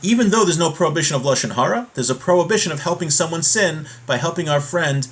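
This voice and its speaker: Man teaching a class, halting and explanatory. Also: a little high, animated, a bit bright, breathy edge. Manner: halting, repeats and rephrases points step by step. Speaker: religious teacher giving a class. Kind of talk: informal religious lesson, explaining and reading from a text.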